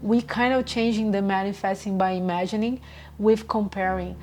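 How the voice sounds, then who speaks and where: Mellow-voiced woman vlogging gently. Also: mid-range voice, steady tone, moderate pace, slight background noise. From Brazil. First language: Portuguese. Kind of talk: casual reflective monologue.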